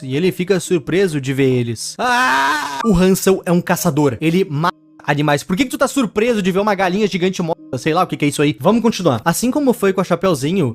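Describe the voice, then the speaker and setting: Fast-talking Brazilian man, fairly high voice. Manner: opinionated, wry, skeptical. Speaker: YouTube host and reviewer. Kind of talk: casual commentary on a horror story.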